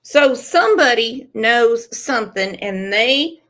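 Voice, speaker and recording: A woman's voice: fairly high pitch, slow. A woman hosting a casual live stream, reading chat comments aloud with emphatic, profane commentary while losing her voice. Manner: animated, swearing, emphatic.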